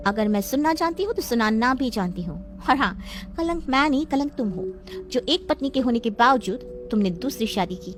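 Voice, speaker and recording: Woman acting a high-pitched, haughty young wife. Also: a little hiss or hum. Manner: haughty, sharp-tongued, theatrical. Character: vain, spoiled, haughty young second wife.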